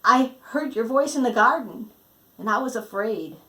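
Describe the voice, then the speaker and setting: A woman's voice: a little high, lively intonation, moderate pace, a bit bright, roomy sound. A woman reading a storybook, voicing a frightened man confessing and excusing himself emphatically. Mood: anxious confession.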